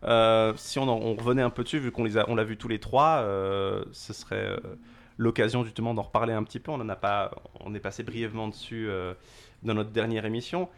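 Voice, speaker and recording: A man's voice: lowish voice, fairly even intonation, brisk. A young man hosting a casual chat show, joking and rambling with many restarts. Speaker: podcast host.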